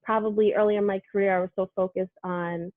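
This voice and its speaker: A woman's voice: medium pitch, quick pace, no echo. Chatty Brooklyn woman on a tinny call. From Brooklyn, New York. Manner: chatty, animated, many fillers like "like" and "you know". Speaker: sports reporter, interview guest. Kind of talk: casual interview conversation.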